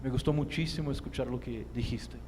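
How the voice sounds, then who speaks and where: A man with a Brazilian Portuguese accent, a native Portuguese speaker, reads a formal academic lecture in deliberate, measured phrases. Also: mid-range voice, darkish tone. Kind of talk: formal lecture read from a text. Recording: echoey hall with clear background noise.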